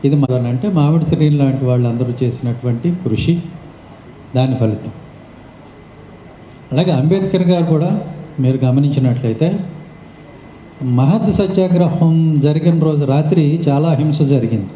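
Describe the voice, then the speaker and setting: A man's voice: on the high side, normal speed, pauses often, dark tone. A man giving a public memorial speech as a guest speaker, explaining things in a conversational way. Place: meeting hall. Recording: muffled, echoey hall recording with noise.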